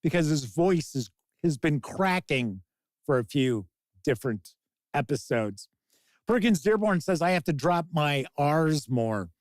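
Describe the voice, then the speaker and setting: A man's voice: on the high side, expressive, measured pace, darkish tone, no room sound. A man with a Chicago accent hosting a casual livestream of tabletop gaming news, chatty and jokey as he talks with his chat. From Chicago, USA. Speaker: host.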